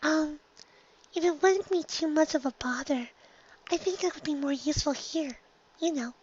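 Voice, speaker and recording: Woman doing a soft, high, timid pegasus pony. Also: normal speed, highs cut off, lightly acted. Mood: timid, hesitant. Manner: hesitant, meek, trailing off. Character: timid, shy, apologetic young female pegasus pony.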